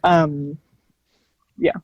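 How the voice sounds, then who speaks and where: A woman's voice: low voice, sing-song, extremely slow, darkish tone, slightly rough, no room sound, lo-fi sound. Woman panelist chatting in a dry recording. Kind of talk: casual panel discussion.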